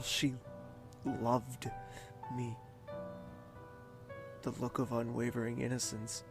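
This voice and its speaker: An actor playing a young crown prince haunted by grief, speaking in a brooding, halting, dramatic way. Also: male voice, mid-range voice, gravelly. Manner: brooding, anguished, halting delivery. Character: grieving young crown prince haunted by his sister's death. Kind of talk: dramatic audio drama monologue.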